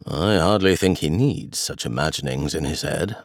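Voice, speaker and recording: A man's voice: a bass voice, moderate pace, no room sound, clean studio sound, pitched below the performer's own voice. A man voicing a stern but fond old grandfather who grumbles properly at his grandchildren. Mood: grumbling. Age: older.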